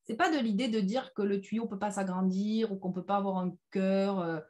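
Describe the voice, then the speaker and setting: Woman, medium pitch, workshop leader, informal explaining, halting delivery, emphatic key phrases, online session. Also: fairly even intonation, mellow. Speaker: personal development workshop leader. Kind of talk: informal interactive online workshop talk with slides.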